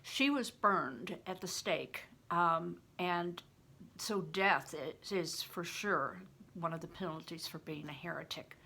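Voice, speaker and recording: A woman's voice: on the low side, takes it slowly, vocal fry, pushed and bright, slight background noise. An older woman, a grandmother reading a story aloud and talking it through in an informal, explanatory way. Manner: explanatory, poses questions to listeners.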